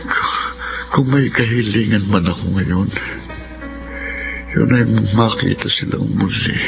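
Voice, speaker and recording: Deep, gravelly, remorseful sick father. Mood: remorseful, sorrowful. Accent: Filipino. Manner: remorseful, halting. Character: sick, guilt-ridden father who abandoned his family. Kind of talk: Filipino radio drama acting. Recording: muffled radio drama, loud music behind.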